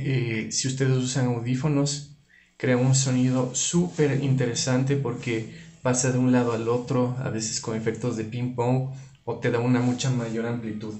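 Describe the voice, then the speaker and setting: A man's voice: mid-range voice, a little mellow. Man teaching guitar effects in a roomy space. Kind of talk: informal product tutorial. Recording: roomy, reverberant room sound. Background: electric guitar playing.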